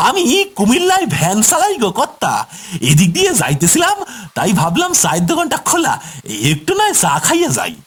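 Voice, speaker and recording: A man's voice: very high-pitched, huge pitch swings, moderate pace, full character voice. A man voicing a mysterious, unsettling van puller, sly and folksy, for a horror cartoon. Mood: sly, gleeful. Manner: sly, cackling, evasive, folksy. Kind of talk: horror cartoon character acting.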